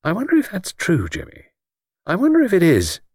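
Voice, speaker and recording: A man's voice: mid-range voice, huge pitch swings, a little fast, dry recording, clean studio sound. A man voicing an earnest, thoughtful amateur sleuth in audiobook dialogue, a hearty, slangy male friend. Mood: pensive, uneasy.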